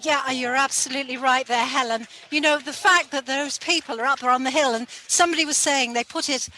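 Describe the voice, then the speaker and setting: A woman's voice: high-pitched, steady tone, brisk, pushed and bright, slight background noise, poor audio. A woman giving casual eyewitness commentary as part of a live discussion. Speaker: panel guest or co-host.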